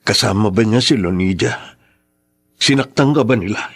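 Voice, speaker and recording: An actor playing a concerned, conspiring confidant in a radio drama, with a solicitous manner. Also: male voice, lowish voice, moderate pace, breathy edge.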